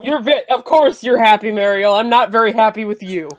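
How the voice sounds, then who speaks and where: A woman with a fairly high voice chats casually as a stream host. She teases and mock-scolds, on a dry, slightly muffled lo-fi microphone. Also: fairly quick, dry recording. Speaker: live stream host moderating a chat. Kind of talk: casual chat. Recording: dull, lo-fi microphone.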